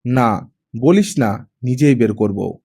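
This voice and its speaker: A man voicing a proud, irritable older retired police chief and father in an audiobook drama, gruff and authoritative. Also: medium pitch, slow, dark tone, heavily acted. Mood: softer, fatherly.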